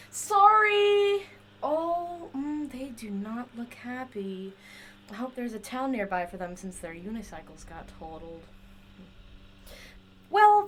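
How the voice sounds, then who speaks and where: A woman's voice: high-pitched, fairly bright tone, a little hiss or hum, full character voice. A woman playing a chatty, eccentric inventor in an audio drama, rambling eagerly and wheedling to justify herself. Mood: triumphant, then awkward cheer. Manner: rambling, wheedling, talks to a silent companion.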